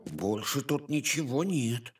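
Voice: mid-range voice, expressive, slow. An actor voicing a curious, helpful little animal sidekick in a children's cartoon, beeping and exclaiming. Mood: curious, pleased.

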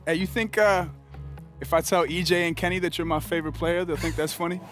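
A man acting as a young American basketball prodigy in a video game. His voice sits a little high, easygoing and confident, with playful casual phrasing. Music is clearly heard behind him. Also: steady tone, brisk, natural voice. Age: young adult. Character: cocky, easygoing teenage star basketball player. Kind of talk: naturalistic game cutscene acting.

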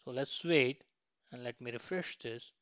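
A man's voice: medium pitch, unhurried, fairly bright tone, dry recording. Man, dull lo-fi recording. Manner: frequently ends points with a questioning "right". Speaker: software tutorial instructor. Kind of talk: screen-share tutorial walkthrough, informal step-by-step.

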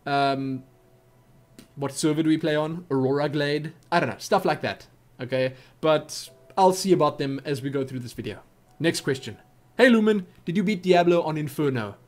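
Give me a young South African man chatting animatedly to his webcam, a bit high-voiced. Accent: South African English. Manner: animated, chatty, theatrical asides. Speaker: YouTube gaming host. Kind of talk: casual Q&A vlog. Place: small home room with posters.

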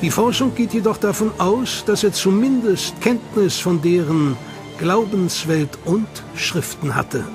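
A man giving measured, scripted documentary narration. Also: high voice. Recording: voice-over with loud music behind.

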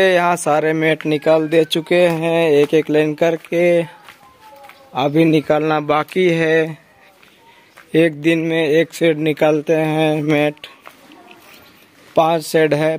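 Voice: medium pitch, level tone, slow pace, darkish tone. A vlogger narrating casually and plainly to viewers, repeating points while explaining. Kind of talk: casual vlog narration, talking to viewers and a child. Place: large open-sided empty poultry shed. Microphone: handheld phone camera, operator behind it. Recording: noisy phone recording in open farm shed.

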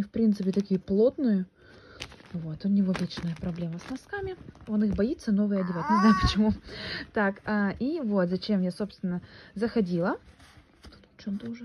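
A woman's voice: medium pitch, darkish tone, muffled recording, a little hiss or hum. A young woman chatting casually into her phone at home, rambling with lots of fillers and restarts. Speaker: vlogger, mother. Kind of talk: casual home vlog chat. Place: room at home, indoors. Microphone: phone at arm's length.

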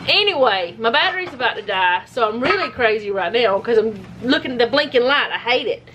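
A woman's voice: fairly high pitch, lively intonation, a little fast, soft background music, rough recording. A middle-aged woman, rambling casually with self-deprecating asides as she cooks supper in her home kitchen. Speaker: home cooking vlogger. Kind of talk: casual cook-along chat. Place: home kitchen with food frying. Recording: home kitchen with loud sizzling.

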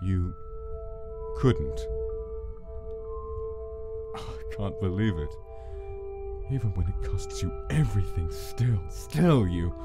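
An actor playing a cold, weary male monster scientist in a dramatic comic dub, speaking in a measured, dismissive way. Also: low voice, lively intonation, full character voice. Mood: shaken, disbelieving. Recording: music behind the voice.

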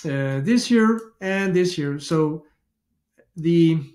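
Middle-aged Danish-accented man, fairly high voice, chatty tutorial host who restarts his sentences; echoey home office. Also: animated, extremely slow, darkish tone. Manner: chatty, self-interrupting, thinks aloud with restarts. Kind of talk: casual screen-share tutorial. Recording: echoey room.

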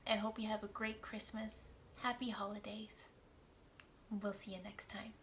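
Storytime lady reading to little kids, slow n very expressive, bit of room noise. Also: mid-range voice. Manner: animated, deliberate, talking to small children. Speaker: children's storytime host. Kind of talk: expressive picture-book storytime reading for young children. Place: small indoor room at home.

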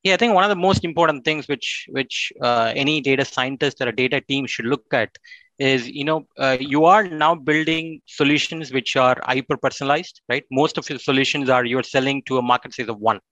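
A man's voice: medium pitch, a little fast, bright, forceful tone, dry recording. Man explaining on a dull video call. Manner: explanatory, with frequent "right?" tags. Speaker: panelist. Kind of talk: panel discussion on a video call.

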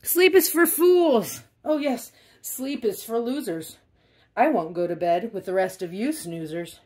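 Greedy, cranky storybook dog played by a woman: shrill, high, loud and insistent. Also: expressive, unhurried. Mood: defiant. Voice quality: shrill, shouting. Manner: demanding, whining, emphatic. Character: greedy bratty cranky pug dog, male, demanding. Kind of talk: children's storybook read-aloud.

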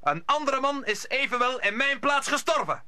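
An actor playing a fiery young musketeer, formally accusing, in an old Dutch radio play. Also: male voice, very high voice, lively intonation, normal speed, sharp tone, full character voice.

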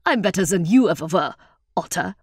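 A broad, hearty minstrel leader, acted by a woman narrator speaks with warm richness. He is welcoming and playfully boastful, a storybook showman recorded close and dry. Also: mid-range voice, normal speed, no echo, natural voice. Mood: mock outrage. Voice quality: warm, rich voice.

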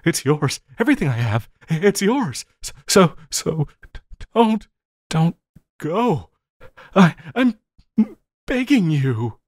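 A man playing an obsessive, lovesick supervillain in an audio roleplay, needy and melodramatic, with halting, stammering delivery. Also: a little high, big pitch swings, a little mellow, no room sound, clean studio sound, exaggerated voice. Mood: desperate, begging. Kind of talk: intimate audio roleplay acting.

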